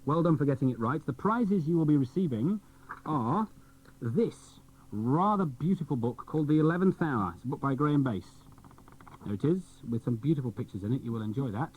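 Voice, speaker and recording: Guy hosting a kids radio show, medium pitch, muffled old cassette sound. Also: animated, normal speed, breathy edge. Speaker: radio presenter. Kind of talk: children's radio presenting, scripted links.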